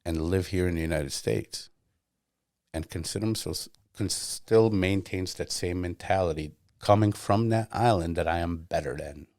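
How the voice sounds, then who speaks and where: Very deep-voiced man musing aloud. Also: normal speed, darkish tone, dry recording, clean studio sound. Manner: thoughtful, searching for words, restarts. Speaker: podcast host. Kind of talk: casual conversation.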